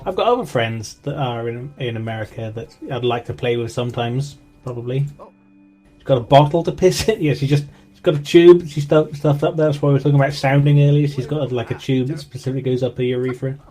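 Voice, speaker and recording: A man's voice: mid-range voice, quick pace, mellow, faint music behind. A young man with an English accent, chatting casually while co-hosting a game stream and greeting viewers in chat. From England. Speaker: co-host streamer.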